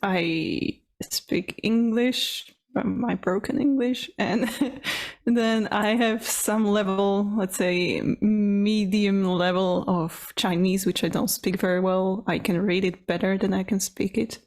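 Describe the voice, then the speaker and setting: Woman on a podcast, mid voice, quick dry little comments. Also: medium pitch, steady tone, darkish tone. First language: Slovak. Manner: dry, wry remarks in short replies. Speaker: podcast co-host. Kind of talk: casual chat.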